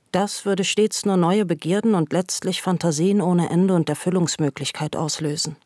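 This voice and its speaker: Low-key female narrator, very dry sound. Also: darkish tone, no echo. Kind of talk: scripted literary narration for radio.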